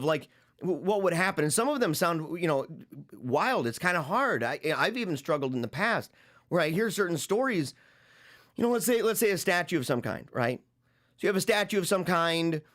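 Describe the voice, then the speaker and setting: Host, male, forties, fairly high voice; unscripted, meandering reflection with restarts. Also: a bit bright. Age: 40s. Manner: rambling, halting, repeats phrases while thinking aloud. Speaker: podcast host discussing a book. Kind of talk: reflective solo commentary.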